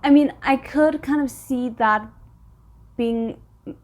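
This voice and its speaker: A woman's voice: high-pitched, unhurried, a little mellow. A woman hosting a reaction video, talking casually and expressively, with hesitant phrasing that trails into asides. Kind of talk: casual reaction commentary to camera.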